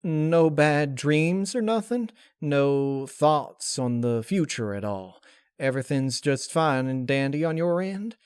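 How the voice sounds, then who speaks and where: A man's voice: fairly high pitch, takes it slowly, a little mellow, smooth, no echo, studio quality, voice pushed up. Man doing a guarded, folksy country mare. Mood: uneasy probing. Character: uneasy plain-spoken country mare, protective, grim underneath. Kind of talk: dramatic fan-fiction character reading.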